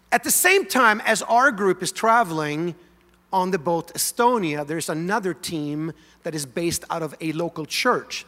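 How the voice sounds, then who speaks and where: Swedish-born pastor, bright, slightly high voice, chatty storytelling sermon, big reverberant room. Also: male voice. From Sweden. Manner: animated, conversational, leads congregation in song. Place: large church sanctuary.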